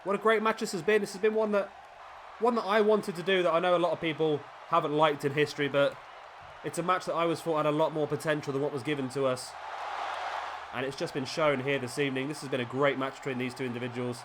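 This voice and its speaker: Man giving excitable game commentary. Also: on the high side, quick pace, noisy background. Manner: excitable, rising during big moves. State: sniffly with a cold. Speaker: gaming YouTuber commentating a wrestling game. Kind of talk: play-by-play commentary.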